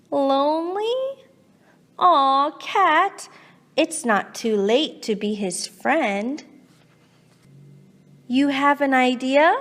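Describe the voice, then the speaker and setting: A woman reading a children's picture book aloud expressively, coaxing and reacting as if chatting with the character. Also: high voice. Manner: animated, coaxing, exaggerated reactions. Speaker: children's storybook reader. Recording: long hall-like reverb.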